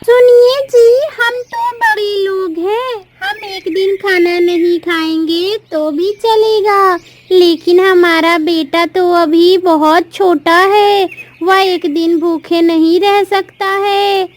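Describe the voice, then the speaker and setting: Woman voicing a very high-pitched pleading crow wife. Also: fairly even intonation, slow pace. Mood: worried, pleading. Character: anxious, caring crow wife and mother. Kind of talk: Hindi children's cartoon story dialogue.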